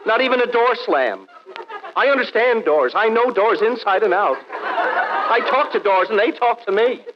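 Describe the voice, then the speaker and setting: A man's voice: high voice, expressive, moderate pace, a crowd in the background. An actor playing a vain, fussy sound-effects man in a 1940s radio comedy, indignant and theatrical. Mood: indignant, boastful. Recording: dull, noisy 1940s radio recording.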